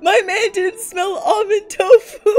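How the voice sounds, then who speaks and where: A woman's voice: very high-pitched, steady tone, slow pace, darkish tone, faint music behind. A young woman, a non-native English speaker, reading aloud and commenting casually as a streamer, slangy, profane, giggly and exasperated. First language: not English. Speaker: streamer reacting to fan fiction.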